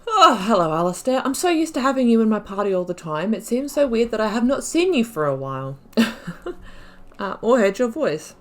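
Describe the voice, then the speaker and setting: A woman's voice: on the high side, big pitch swings, fairly quick. A woman hosting a playthrough, thinking aloud in casual, chatty and reflective gameplay commentary. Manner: chatty, reflective, often laughing at own mistakes.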